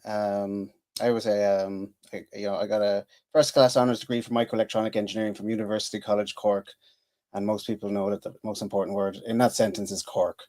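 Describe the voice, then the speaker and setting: A man's voice: fairly low pitch, little pitch movement, a little fast, darkish tone. A man in his forties with an Irish accent, telling his career story casually on a podcast, with many fillers and restarts. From Ireland. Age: 40s. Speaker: podcast guest, engineer.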